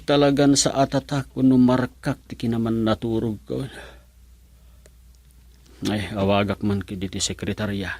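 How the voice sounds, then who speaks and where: An actor with an Ilocano Filipino accent playing a chatty, curious village official in a radio comedy drama. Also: male voice, mid-range voice, darkish tone, no room sound. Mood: drowsy, bored. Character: village official, chatty curious man, son-in-law of the chairman.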